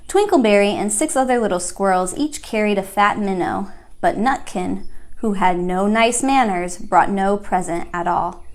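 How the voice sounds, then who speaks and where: A woman's voice: medium pitch, moderate pace. A woman narrating a children's storybook aloud as a library storyteller.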